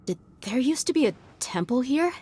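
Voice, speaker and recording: A woman's voice: high voice, sing-song, moderate pace, mellow, husky, heavily acted. An actress playing a tough young mercenary woman in a video game, cool, sardonic and casual. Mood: wry.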